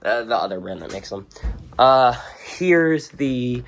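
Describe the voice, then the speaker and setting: Offhand radio collector with a mid-pitched voice. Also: animated, slow pace, a little hiss or hum, poor audio. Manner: blunt, offhand, brief verdicts. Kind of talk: casual unscripted show-and-tell. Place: indoors at home, among shelves and tables of radios.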